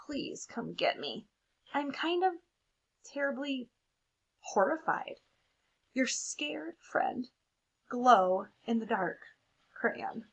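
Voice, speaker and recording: A woman's voice: on the high side, very animated, drawn-out words, gravelly, pauses often, husky, muffled recording, full character voice. A woman voicing a timid, terrified crayon with a nervous, hesitant storybook delivery. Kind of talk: expressive picture-book read-aloud.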